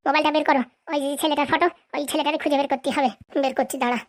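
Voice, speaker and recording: A performer voicing a cocky young troublemaker in a comedy cartoon, boastful and quick to squabble. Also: sits high, steady tone. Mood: boastful, bickering.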